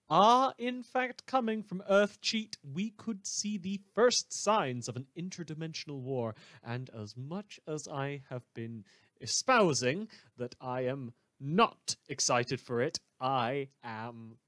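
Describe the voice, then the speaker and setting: A man's voice: fairly high pitch, fairly bright tone, no room sound. A man playing a smug, rambling small-town radio news host in a fan audio drama, theatrical and wry, repeating phrases for emphasis. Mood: gleefully excited.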